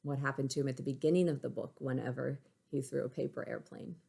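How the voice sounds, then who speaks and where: A woman's voice: rumbling low, steady tone, fast, gravelly, dark tone, slightly rough. A woman schoolteacher reading a picture book aloud to young pupils, using simple phrasing and stopping to ask them questions. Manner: simple child-directed phrasing, checking questions.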